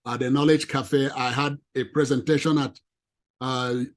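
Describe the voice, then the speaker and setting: A man with a non-native English accent giving an animated, emphatic presentation to a professional audience. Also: mid-range voice, moderate pace. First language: not English. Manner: emphatic, lively, addresses ladies and gentlemen.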